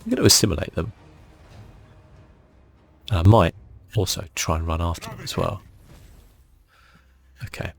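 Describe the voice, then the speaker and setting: A man giving soft-spoken ASMR commentary as he plays a game, thinking aloud in a relaxed way. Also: low-pitched, normal speed, vocal fry, long pauses, soft background music. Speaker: gaming video host.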